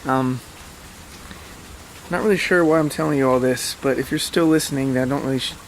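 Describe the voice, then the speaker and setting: A man's voice: mid-range voice, brisk, a little hiss or hum, low-quality recording. A man hosting a podcast in a casual, rambling monologue, informal, hesitant and self-deprecating. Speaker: podcast host.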